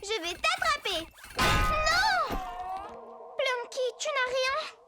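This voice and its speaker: Very high-pitched eager cartoon little girl. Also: sing-song, extremely slow, over loud music, exaggerated voice. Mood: excited, alarmed. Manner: eager, exclamatory. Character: excitable curious superhero girl, granddaughter of postwoman. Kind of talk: animated children's cartoon dialogue.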